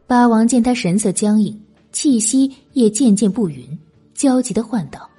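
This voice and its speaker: A woman narrating a historical novel as an audiobook, in an expressive, literary storytelling manner. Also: on the high side, moderate pace, slightly rough, soft background music.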